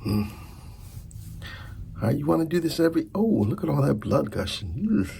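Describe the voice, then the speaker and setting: A man's voice: fairly high pitch, very animated, normal speed, vocal fry, dark tone, slight background noise. A middle-aged man in an ASMR roleplay as a dentist, talking informally and teasingly to the listener as his patient. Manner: informal, hesitant, teasing chairside patter. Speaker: ASMR performer playing a dentist.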